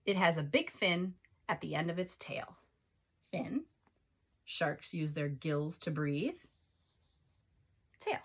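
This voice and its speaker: Expressive woman teacher with a husky voice. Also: sits low, takes it slowly, long pauses. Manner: instructional, addresses listeners with questions and prompts. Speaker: teacher reading a children's book aloud. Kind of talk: expressive read-aloud and lesson for young children.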